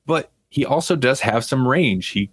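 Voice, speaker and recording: A man's voice: medium pitch, lively intonation, normal speed, breathy edge. A man co-hosting a podcast, chatting casually about pop culture. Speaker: podcast co-host.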